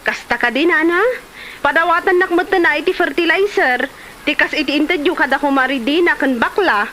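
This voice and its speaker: A woman's voice: high voice, fairly quick, sharp tone, slight background noise, low-quality recording. A woman with an Ilocano Filipino accent acting a chatty, envious village wife in a comedy drama. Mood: eager.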